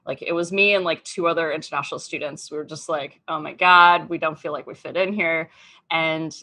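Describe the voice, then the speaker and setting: Woman, 30s, from Australia, interview guest, lowish pitch, chatty with fillers. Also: steady tone, brisk, sharp tone. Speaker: podcast guest. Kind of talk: casual interview chat.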